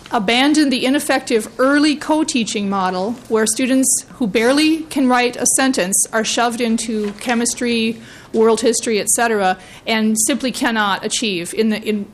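A woman with a slightly raised pitch speaks earnestly before a legislative panel, making her case with steady conviction. She talks into a hearing-room microphone, and a faint hiss of background noise sits under her voice. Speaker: education advocate testifying. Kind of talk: legislative committee testimony.

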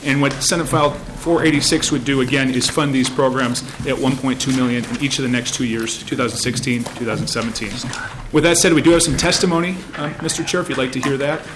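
A man's voice: mid-range voice, fairly even intonation, fast, noisy background. A man, a state senator, formally presenting a bill to a committee with frequent "uh" fillers. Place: legislative hearing room.

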